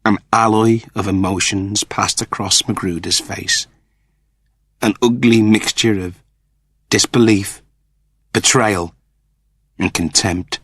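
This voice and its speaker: A man's voice: fairly low pitch, steady tone, takes it slowly, pauses often, fairly bright tone, husky, no room sound. A man narrating a story as a scripted, dramatic audiobook reading.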